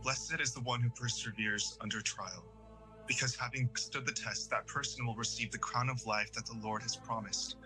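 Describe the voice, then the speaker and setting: A man's voice: mid-range voice, steady tone, normal speed, over music, close to an everyday voice, low-quality recording. Man playing a guilt-ridden, devout young man. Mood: solemn. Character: guilt-ridden, devout, earnest teenage boy confessing. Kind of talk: audio drama acting.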